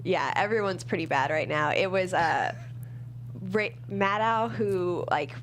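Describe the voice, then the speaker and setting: A woman's voice: lowish voice, unhurried, fairly bright tone, a little hiss or hum. A woman talking casually as a guest writer in a panel chat, informal and emphatic, often repeating a phrase to stress it. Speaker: guest screenwriter.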